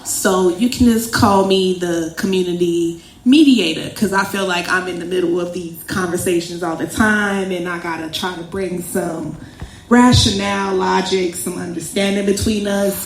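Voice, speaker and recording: A woman introducing herself as a community mediator, speaking in a rhythmic way with repeated phrases. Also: mid-range voice. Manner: warm and rhythmic, uses rhetorical repetition. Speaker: community mediator and event host. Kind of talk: casual self-introduction. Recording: echoey room with faint ambience.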